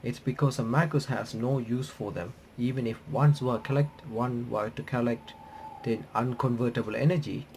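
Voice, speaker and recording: A man doing a casual visual novel playthrough, reading the on-screen text aloud in a halting, stumbling way and reacting as he goes. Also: medium pitch, fairly even intonation, a little mellow, slight background noise. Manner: halting, stumbling reader who rereads phrases.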